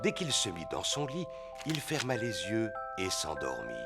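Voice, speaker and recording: Un acteur à l'français joue un papa cochon de dessin animé, jovial et patient, pour les enfants. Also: male voice, lowish voice, huge pitch swings, normal speed. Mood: soothing. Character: jolly adult male cartoon pig, patient father. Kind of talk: children's cartoon dubbing. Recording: music behind the voice.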